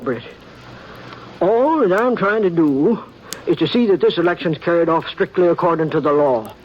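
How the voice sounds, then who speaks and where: A fussy, stammering townsman called Doc. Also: high-pitched. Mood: coaxing. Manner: stammering, evasive, coaxing. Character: fussy, hesitant small-town man called Doc. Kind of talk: 1950s radio drama acting. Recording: dull old radio broadcast with faint hiss.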